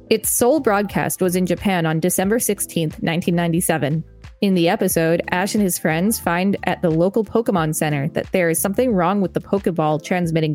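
A woman's voice: fairly low pitch, a little mellow. Young woman co-hosting a reading podcast. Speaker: podcast co-host. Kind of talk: reading aloud with casual commentary.

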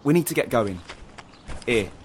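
A young male freedom fighter, voiced by an actor in an audio drama, with a very lively, mid-pitched delivery. He sounds cocky and determined, giving orders in a confident, matter-of-fact way. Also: a little slow, slight background noise, lightly acted. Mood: firm, urgent.

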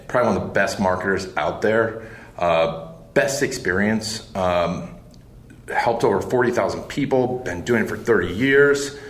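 A man hosting an interview in a casual way, halting often with fillers as he introduces and praises his guest. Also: low-pitched. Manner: halting, filler-heavy, admiring. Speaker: podcast host. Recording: roomy echo, faint background hiss.

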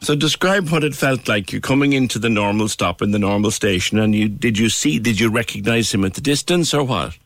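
A man's voice: on the low side, fairly quick, hardly any pauses, no room sound. A man hosting a casual radio talk show interview, chatty, laughing easily and repeating words as he goes. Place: radio studio. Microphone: studio microphone.

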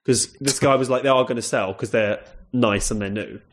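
A man with a London accent, co-hosting a casual comedy podcast and rambling through a story, dropping into a lower voice to quote people. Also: a little fast. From south London. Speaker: podcast co-host.

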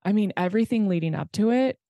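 A woman's voice: medium pitch, animated, quick pace, dry recording, highs cut off. A woman in her thirties, a podcast guest talking candidly and bluntly, swearing freely in casual chat.

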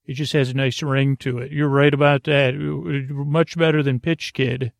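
A man with a gravelly voice hosting a sleep podcast, talking in a halting, meandering way, stammering and drifting into tangents. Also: mid-range voice, flat delivery, no echo, clean studio sound. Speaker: podcast host. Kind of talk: rambling bedtime monologue for a sleep podcast.

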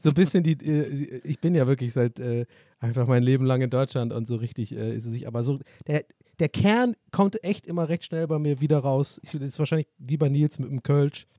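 A man's voice: mid-range voice, fairly quick, warm tone, no echo, studio quality. A man co-hosting a casual podcast, joking and rambling with frequent laughter. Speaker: podcast co-host. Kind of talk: casual chat.

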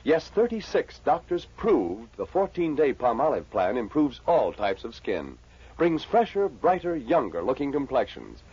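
A man with a raspy voice announcing a scripted 1940s radio soap commercial. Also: mid-range voice, sing-song, unhurried. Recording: dull vintage 1940s radio recording.

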